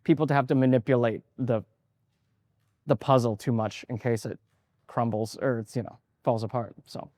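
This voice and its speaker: A man's voice: mid-range voice, fairly even intonation, moderate pace, a little mellow, no room sound, clean studio sound. A man in his forties who grew up in Canada, an artist talking about his work in an interview, thoughtful and hesitant, with many fillers and restarts. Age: 40s.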